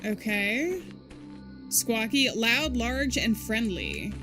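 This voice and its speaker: A woman with a fairly high voice chats through a live game stream, laughing often and calling out loudly at surprises. Game music plays clearly under her voice. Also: very animated, extremely slow. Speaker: streamer playing games.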